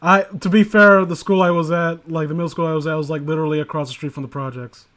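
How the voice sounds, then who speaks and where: A man's voice: fairly high pitch, steady tone, fast, a bit bright. A man from New York City hosting a stream, reading a story aloud with sarcastic, joking, foul-mouthed banter.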